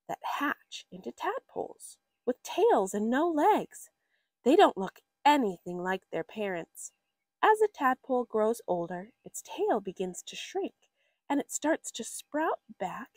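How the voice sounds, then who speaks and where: A woman reads a children's nature book aloud at an unhurried pace. Her pitch moves in big, lively swings as she leans on important words for little listeners. The sound is dry, with very little room around the voice. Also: no room sound. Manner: expressive, drawn-out emphasis for young listeners. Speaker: story reader for children.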